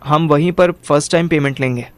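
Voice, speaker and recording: Higher-voiced young man narrating a story. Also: brisk. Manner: colloquial, Hindi with English words. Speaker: narrator and lead character. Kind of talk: first-person audio story narration, dramatised.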